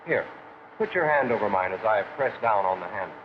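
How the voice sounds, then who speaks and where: An actor with an American accent playing a practical factory instructor who explains things methodically in a 1940s training film. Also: male voice, fairly low pitch, lively intonation, fairly quick, natural voice. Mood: encouraging. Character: plain-spoken, practical male factory instructor. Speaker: shop floor instructor. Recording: dull, hissy 1940s film soundtrack.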